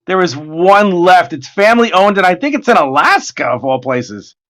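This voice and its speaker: A man with a hoarse voice, chatting casually and joking as the host of a livestream while he draws, excitable and swearing freely. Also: high-pitched, moderate pace. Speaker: artist hosting a livestream. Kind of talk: casual chat while drawing.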